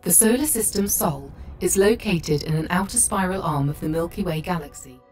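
Woman acting as female spaceship computer, game tour guide, low pitch, calm, measured, factual narration, slightly distant, music behind. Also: lightly acted. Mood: calm, informative. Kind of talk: scripted educational narration.

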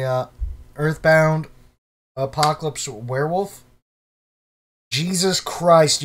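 Hoarse young man gaming commentary. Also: a little high. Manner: casual, swears, clears throat. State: losing his voice, sick. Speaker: gaming YouTuber. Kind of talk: casual let's-play commentary.

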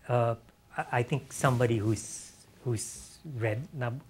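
A man's voice: mid-range voice, slight background noise. Hesitant middle-aged man. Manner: hesitant, repeats words and phrases. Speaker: author in an on-stage conversation. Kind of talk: unscripted Q&A talk. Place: on stage in an auditorium with an audience. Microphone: lapel mic.

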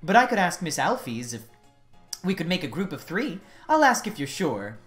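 A woman's voice: fairly low pitch, very animated, normal speed, faint music behind. A woman voicing a friendly, timid teenage monster girl in a video game, polite and hesitant in manner.

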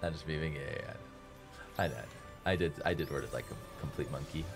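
Deep-voiced joking streamer over game music. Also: male voice, brisk. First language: Farsi. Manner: joking, self-mocking, chatty. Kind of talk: casual stream commentary. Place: small room indoors. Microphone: headset. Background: faint game music.

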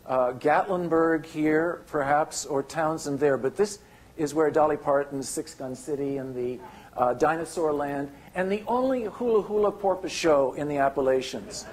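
An older man giving a keynote lecture with slides, halting, with many restarts and fillers. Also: fairly high pitch, darkish tone, poor audio. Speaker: keynote speaker. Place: lecture hall.

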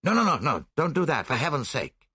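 A man's voice: mid-range voice, sing-song, moderate pace, sharp tone, dry recording, clean studio sound, exaggerated voice. A man voicing a harsh but nervous male householder in a mystery audiobook. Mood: anxious pleading. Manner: gruff, nervous. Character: harsh, uneasy householder in a dressing gown.

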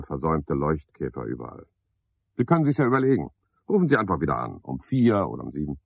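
Radio play: sardonic, pompous night caretaker, theatrical and very animated, dry, slightly dull vintage studio recording. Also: male voice, low voice, no echo, full character voice. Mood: sardonic, wistful. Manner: pompous, sardonic, theatrical one-sided conversation. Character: cynical, self-satisfied, talkative male night caretaker. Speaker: radio drama actor. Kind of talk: 1970s German radio play monologue.

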